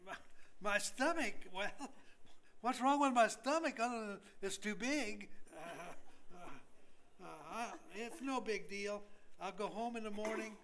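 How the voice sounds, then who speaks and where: A woman playing a folksy, bemused hospital patient in an amateur staged reading, halting and stammering as she rambles along. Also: medium pitch, slight background noise, close to an everyday voice. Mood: folksy, rambling.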